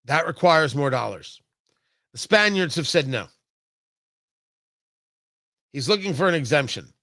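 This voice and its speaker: Bright, high-pitched American man delivering emphatic political commentary. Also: lively intonation, no room sound, clean studio sound. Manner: emphatic, deliberate repetition for effect. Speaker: talk show host. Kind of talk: opinionated political commentary monologue.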